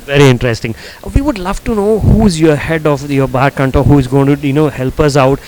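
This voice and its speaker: A man's voice: a little high, a little fast, a little mellow, poor audio. A man interviewing bar staff, asking friendly prompting questions. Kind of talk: news interview.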